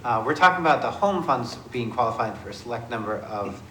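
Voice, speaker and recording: A man chairing a city committee meeting, with a gravelly voice and an informal, thinking-aloud way of asking questions. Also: medium pitch, animated, a little fast, a bit bright, echoey room, slight background noise, low-quality recording.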